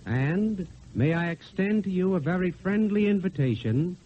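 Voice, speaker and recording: Formal clergyman, slightly high voice, old radio. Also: lively intonation. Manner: formal, deliberate. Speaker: clergyman, parish reverend. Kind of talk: scripted radio invitation. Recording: dull, faintly hissy old radio.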